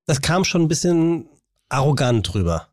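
A man's voice: fairly high pitch, big pitch swings, moderate pace, a little mellow, no echo, clean studio sound. A man talking casually as a podcast interviewer, phrasing his thoughts in a hesitant, roundabout way. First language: German.

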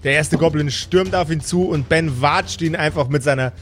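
A man with a slightly high voice runs a fantasy tabletop game. He improvises action scenes in vivid, colloquial language. Soft music plays behind him. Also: moderate pace. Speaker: game master. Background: background music.

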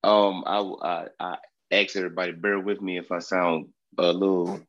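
A man hosting a casual sports podcast, talking fast and loose with profane trash talk, sounding congested from a cold. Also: low voice, flat delivery, fairly bright tone, muffled recording. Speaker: podcast host.

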